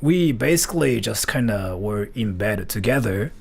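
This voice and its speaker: Husky-voiced man. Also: lowish voice, animated, moderate pace. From Japan. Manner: rambling, heavy use of "like" fillers. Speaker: streamer. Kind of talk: casual storytelling livestream.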